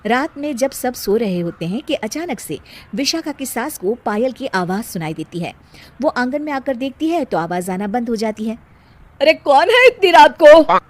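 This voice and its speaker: A woman's voice: a little high, lively intonation, moderate pace, a little mellow. A woman reading scripted narration for a cartoon moral story as the storyteller.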